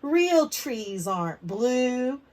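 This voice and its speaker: Woman reading as a loud, scornful young schoolboy. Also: a little high, very animated, drawn-out words, voice pushed up, full character voice. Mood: scornful, accusing. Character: scornful young schoolboy classmate teasing a girl.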